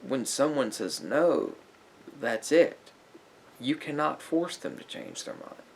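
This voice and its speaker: A man playing a calm, patient therapist in a roleplay, gently questioning a client in a measured, hesitant way with long pauses. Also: medium pitch, vocal fry, slight background noise, full character voice. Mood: firm, explaining. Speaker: ASMR roleplay performer. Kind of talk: therapist roleplay ASMR, addressing the listener.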